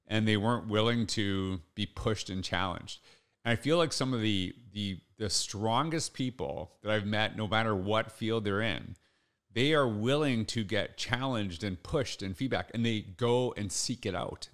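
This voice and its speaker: Low-voiced middle-aged man podcasting casually. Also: a bit bright, clean tone. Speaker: podcast host. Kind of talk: casual solo podcast monologue. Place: small home office, indoors. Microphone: close desk podcast microphone.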